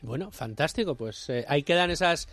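A man hosting a radio program in casual, brisk, affable chat. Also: on the high side, expressive, a bit bright, dry recording. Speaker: radio show host.

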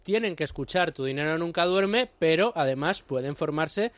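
A man hosting a radio program in casual, brisk, affable chat. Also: on the high side, lively intonation, fairly bright tone, no room sound. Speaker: radio show host.

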